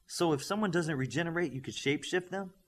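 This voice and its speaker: A man's voice: on the high side, quick pace, voice pushed up, close to an everyday voice. A man voicing a wisecracking teenage boy superhero trainee in an audiobook, cheeky and dryly humorous. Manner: cheeky, dry humour. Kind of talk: audiobook character voice.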